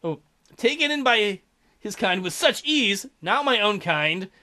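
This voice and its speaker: A man's voice: high-pitched, very animated, unhurried, bright, forceful tone. A man hosting a let's play, reading a visual novel aloud and breaking in with crude, mocking jokes.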